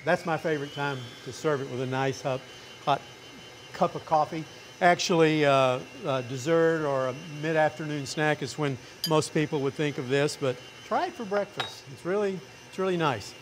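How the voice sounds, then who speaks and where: A middle-aged man, a chef, talking through a recipe in a relaxed, chatty way in a restaurant demo kitchen. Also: fairly high pitch, moderate pace, a little hiss or hum. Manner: relaxed, chatty step-by-step explaining. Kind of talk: cooking demonstration.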